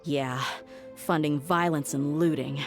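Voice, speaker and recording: A young female mercenary from a video game in a low voice. She is frank, steady and loyal, and reasons things through seriously. Music plays behind her. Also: slow. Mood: measured, resolute.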